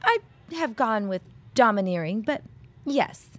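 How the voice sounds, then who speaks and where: A woman's voice: fairly high pitch, lively intonation, unhurried. A woman playing a poised, guarded bride-to-be in a period audio drama, speaking formally with dry wit. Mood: uneasy.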